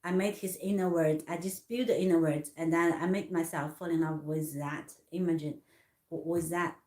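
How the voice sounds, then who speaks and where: A woman from Hong Kong in her thirties telling a personal story in halting English with many restarts. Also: low voice, fairly even intonation, mellow, reverberant. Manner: halting, many restarts and fillers, non-native grammar. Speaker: artist vlogger.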